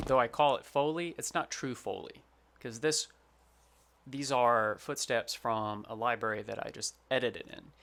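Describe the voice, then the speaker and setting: A man's voice: mid-range voice, fairly even intonation, a little slow, creaky, a bit bright, a little hiss or hum. A man who works as a sound designer, giving an informal, plain-spoken tutorial. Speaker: sound designer presenting his project.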